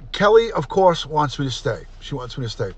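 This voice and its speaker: A middle-aged man hosting a casual livestream, chatty and repetitive, often clearing his throat. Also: on the high side, big pitch swings, moderate pace, fairly bright tone. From New York. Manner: chatty, repeats himself, frequent throat clearing. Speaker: livestream host, retired detective. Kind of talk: casual livestream chat, reading comments aloud.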